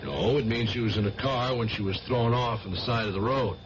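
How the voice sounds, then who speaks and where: A man's voice: medium pitch, a little fast, dull audio, lightly acted. A man playing a probing police lieutenant, noisy old radio. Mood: casually probing. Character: probing, methodical, faintly sly male police lieutenant. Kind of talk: 1970s radio drama acting. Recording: noisy, band-limited 1970s radio broadcast.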